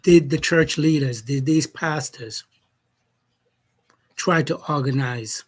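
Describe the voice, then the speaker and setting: A man from Jamaica speaking as a commentator in an opinion monologue, slow and deliberate, posing challenging questions. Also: on the high side.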